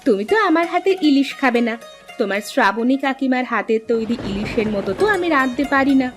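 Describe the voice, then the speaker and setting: Woman as teasing mistress of the house, very high pitch, expressive cartoon delivery, music behind. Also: fast. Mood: caring, teasing. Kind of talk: Bengali cartoon voice acting.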